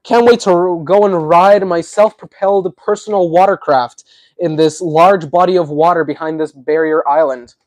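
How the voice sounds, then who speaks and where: A man's voice: fairly high pitch, steady tone, moderate pace, darkish tone, no room sound. A man, a native Russian speaker with a slight Russian accent, hosting a casual livestream. He chats while building, laughs often and answers viewers' comments. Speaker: livestream host.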